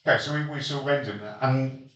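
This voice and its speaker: A man's voice: medium pitch, fairly even intonation, brisk, a bit bright, muffled recording. A man hosting a casual podcast chat, recapping a game session with hesitant ums and dry jokes. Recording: roomy echo, distant mic.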